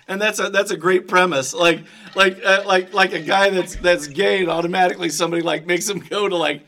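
A man's voice: high-pitched, fairly even intonation, a little fast, bright, forceful tone. A middle-aged man chatting casually and comically, with a theatrical way of putting on voices. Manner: theatrical, bursts into song and put-on voices.

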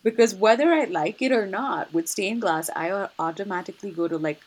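A woman's voice: mid-range voice, brisk. A woman in a casual conversation, thinking aloud with frequent restarts and light laughter.

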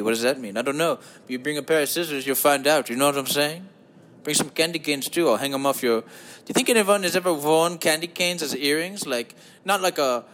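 Dude doing a goofy flirty german guy, high voice, thick silly accent, creepy and campy, rambly podcast bit. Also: fairly bright tone, lightly acted. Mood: creepy, teasing. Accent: exaggerated German.

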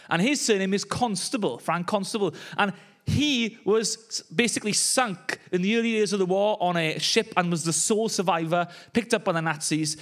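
A young Welsh man, a pastor, preaching a conversational sermon with joking asides. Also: sits high. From Wales. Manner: chatty, joking asides with laughs.

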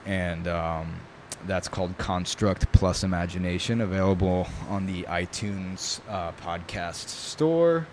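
Deep-voiced man, thoughtful and hesitant. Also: takes it slowly, hardly any pauses, a little mellow, slight background noise. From New York. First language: Italian. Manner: thoughtful, hesitant, many fillers. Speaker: guest, knife maker. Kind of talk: casual conversational interview.

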